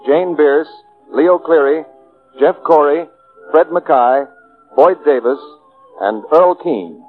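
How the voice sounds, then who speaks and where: An American man reading scripted announcements in a formal 1940s radio style. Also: a little high. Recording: tinny 1940s radio broadcast.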